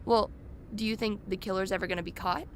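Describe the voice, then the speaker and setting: A woman's voice: medium pitch, fairly even intonation, fast, a little hiss or hum, lightly acted. A woman playing a chatty, curious true-crime podcaster in a radio play, telling her story informally.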